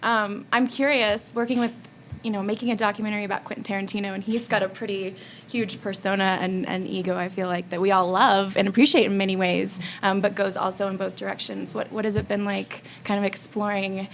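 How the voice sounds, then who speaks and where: Female moderator. Mid-pitched with noticeable vocal fry, conversational, faint room noise. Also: little pitch movement, brisk. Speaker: panel host. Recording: live panel with background room noise.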